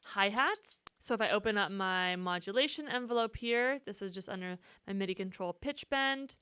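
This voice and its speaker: A woman's voice: mid-range voice, moderate pace, sharp tone, clean tone. A woman giving a casual, enthusiastic walkthrough of music production in informal, slangy language, as a musician teaching. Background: music from the track being demonstrated.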